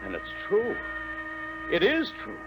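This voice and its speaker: A man playing a bewildered, doubting father in a 1950s radio drama, speaking haltingly and in disbelief. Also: high voice, wildly swooping pitch, background noise, full character voice. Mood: skeptical. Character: bewildered middle-aged father.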